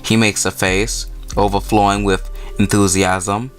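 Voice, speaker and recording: Low-voiced man reading a game story. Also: level tone, a little slow, soft background music. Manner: conversational, informal asides while reading. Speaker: gaming video host. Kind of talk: reading a visual novel aloud with casual commentary.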